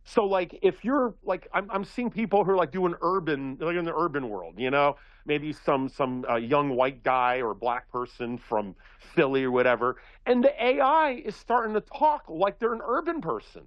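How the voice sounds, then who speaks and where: A man from Philadelphia talking in a casual radio chat, rambling and animated, with many restarts. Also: on the high side, normal speed, few pauses, no echo, highs cut off.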